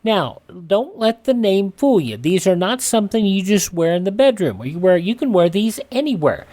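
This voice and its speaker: A man's voice: sits high, very animated, normal speed, darkish tone. A man with an American accent presenting a radio show in a folksy, conversational, personal way. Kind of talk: conversational presenting and host-read endorsement.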